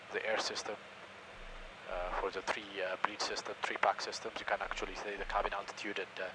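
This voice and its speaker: Male first officer, low flat voice, halting with fillers, steady cockpit engine noise behind. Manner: hesitant, many uh fillers, technical explanations. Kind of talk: explaining the cockpit to camera. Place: airliner cockpit in flight.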